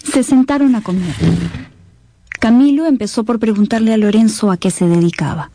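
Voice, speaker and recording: A woman giving measured, scripted dramatic narration for a radio novel. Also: fairly high pitch, warm tone, slight background noise.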